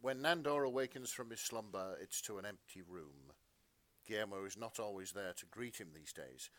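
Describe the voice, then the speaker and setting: A man with a lowish voice narrates fan fiction with melodramatic flair. He is a podcast storyteller, and the sound is close and dry. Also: expressive, moderate pace, fairly bright tone, no echo.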